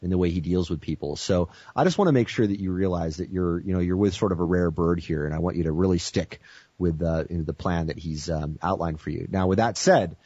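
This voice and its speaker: An American man as a guest on a teleconference interview, speaking with enthusiastic sales-pitch energy and frequent "you know". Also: a little fast, mellow, breathy edge, dry recording, dull audio.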